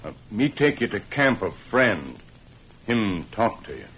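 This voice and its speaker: An actor playing a stoic, loyal western sidekick who speaks terse, halting broken English, in old-time radio style. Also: male voice, lowish voice, big pitch swings, fairly bright tone, exaggerated voice, low-quality recording. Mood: stoic, matter-of-fact. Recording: old radio recording with faint noise.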